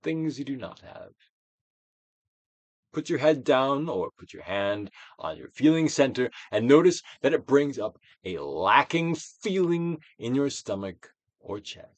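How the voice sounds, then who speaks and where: A man giving a dramatic, theatrical reading of a self-help book, emphatic, with swells and hushed drops. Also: mid-range voice, expressive, measured pace, dry recording. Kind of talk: theatrical dramatic reading of scripted instructional text.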